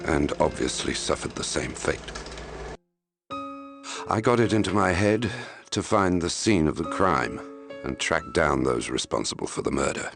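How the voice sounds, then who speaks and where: Very deep, gravelly brooding investigator. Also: male voice, animated, unhurried, heavily acted. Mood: grave, recounting. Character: male investigative journalist narrating his grim logbook. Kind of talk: scripted first-person narration for a mystery game. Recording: music under the voice. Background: music.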